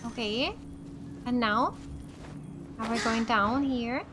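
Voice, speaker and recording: A woman giving casual, expressive, exclamatory let's play commentary. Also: on the high side, slow pace. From Finland. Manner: expressive, exclamatory, reading aloud and reacting. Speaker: gaming streamer. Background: game music.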